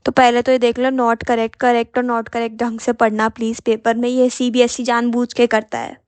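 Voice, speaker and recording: A young woman teaching an exam-prep lesson with a hoarse, sore voice, chatting directly to her students in a mix of Hindi and English. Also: on the high side, little pitch movement, moderate pace.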